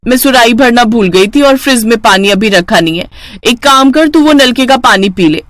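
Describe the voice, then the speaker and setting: A woman's voice: fairly high pitch, expressive, moderate pace, no echo, natural voice. A woman voicing a haughty, boastful rich elder sister who bosses people around in a storybook tale. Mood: bossy. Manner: boastful, ordering, dismissive.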